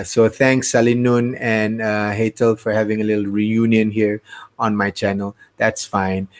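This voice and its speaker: Chatty man with Midwestern American twang. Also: medium pitch. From Malaysia. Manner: chatty, greets viewers one by one, mixes in Malay phrases. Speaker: livestream host. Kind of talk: casual livestream chat. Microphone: desk mic on a streaming setup.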